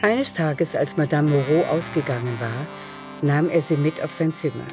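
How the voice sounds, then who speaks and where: A woman's voice: deep voice, moderate pace. A woman reading scripted literary narration for a radio drama. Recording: music behind.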